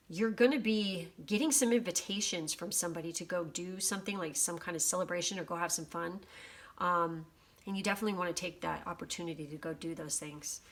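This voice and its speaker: A woman with a slightly worn, hoarse voice giving a casual general oracle card reading, swearing freely. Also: fairly low pitch, fairly bright tone. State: voice going hoarse. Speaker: tarot reader.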